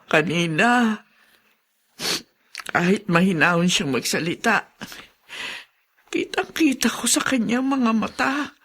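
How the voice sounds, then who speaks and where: An actress playing a worried wife who fears an old grudge, in a radio drama. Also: female voice, medium pitch, lively intonation, takes it slowly, long pauses, a bit bright, breathy edge, full character voice. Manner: anxious, hesitant. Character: worried older wife, anxious.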